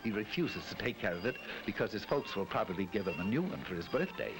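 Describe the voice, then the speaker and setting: Wry lively man narrating an old film. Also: fairly low pitch, quick pace, rough recording. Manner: wry, mock-solemn storyteller. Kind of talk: scripted 1960s educational film narration. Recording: narration over loud music.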